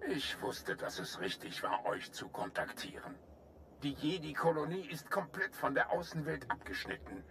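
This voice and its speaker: Forceful, earnest alien general. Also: male voice, a little high, sing-song, a little hiss or hum. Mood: urgent, grave. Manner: formal, measured, earnest. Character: earnest male alien general, grave and courteous. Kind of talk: German video game voice acting.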